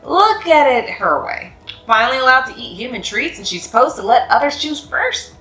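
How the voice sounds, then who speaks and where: A woman reading a children's picture book aloud in an expressive, sing-song way. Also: high-pitched, normal speed. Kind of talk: storybook read-aloud. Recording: echoey room with faint music.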